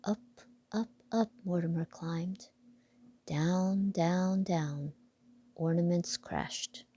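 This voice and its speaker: A woman reading a children's picture book aloud with deliberate storytelling phrasing. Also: fairly low pitch, steady tone, dark tone, breathy edge.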